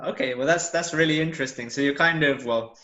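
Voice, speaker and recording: A man's voice: medium pitch, fast, pushed and bright. A young British man hosting a casual interview podcast over a video call. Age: young adult. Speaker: podcast host. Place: room at home, indoors. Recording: roomy, dull video-call audio.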